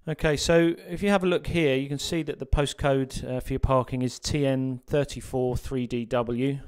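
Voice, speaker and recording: A man narrating a sea fishing guide as a voice-over, relaxed and full of ums and uhs. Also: medium pitch, moderate pace, smooth.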